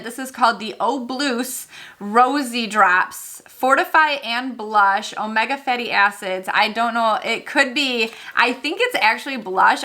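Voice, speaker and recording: A woman chatting casually while unboxing beauty products, excitable and thinking aloud, her voice going hoarse. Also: fairly high pitch. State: losing her voice. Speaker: beauty vlogger.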